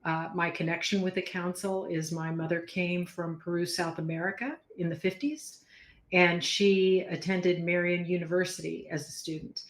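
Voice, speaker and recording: Measured woman executive, echoey room. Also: fairly low pitch, fairly even intonation, a little hiss or hum. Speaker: company president. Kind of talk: casual interview.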